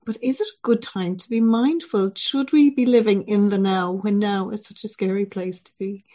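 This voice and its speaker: A woman hosting a podcast, gently interviewing a guest and reading a news roundup. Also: mid-range voice, fairly even intonation, moderate pace. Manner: gentle, friendly, conversational. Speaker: podcast host.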